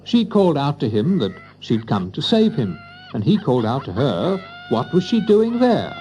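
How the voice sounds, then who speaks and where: A man narrating a scripted children's story in an expressive storytelling manner. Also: mid-range voice, moderate pace, slight background noise.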